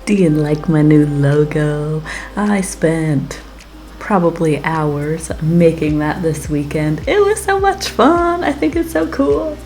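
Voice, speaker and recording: A woman with a slightly raspy voice from being sick, chatting enthusiastically as she narrates a casual craft tutorial. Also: sits low, lively intonation, slow pace, darkish tone, soft background music. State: getting over a weekend sickness. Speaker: craft channel host. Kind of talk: casual tutorial narration over process video.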